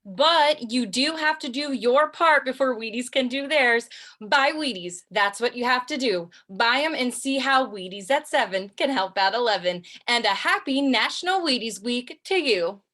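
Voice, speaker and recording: Woman doing a pushy old radio commercial lady, sharp bright voice, kinda high. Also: expressive, moderate pace. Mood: emphatic selling. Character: brisk, forceful female breakfast cereal ad spokesperson. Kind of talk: 1950s radio commercial acting.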